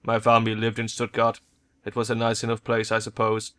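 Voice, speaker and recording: A man's voice: lowish voice, brisk, lightly acted. Man reading as cold, detached cyborg woman. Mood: cool, painful recall. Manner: cool, detached monotone. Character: cold, guarded cyborg woman teammate with painful past.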